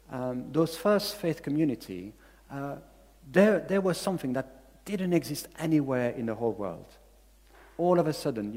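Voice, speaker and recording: A man's voice: mid-range voice, a little mellow, in a large hall. A man from Belgium with a Belgian accent, preaching a sermon in English as a second language, earnest and repeating key phrases for emphasis. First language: not English. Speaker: pastor.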